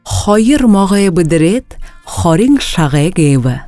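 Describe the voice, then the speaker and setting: A woman's voice: on the low side, sing-song, takes it slowly, warm tone, dry recording, soft background music. A woman reading words and phrases for a language lesson, carefully enunciated for learners to repeat, on a close studio mic. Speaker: native-language speaker in a lesson.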